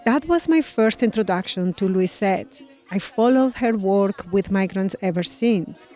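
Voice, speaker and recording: A woman news reporter narrating a scripted radio feature in a measured, clear way. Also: medium pitch, animated, dark tone, faint music behind.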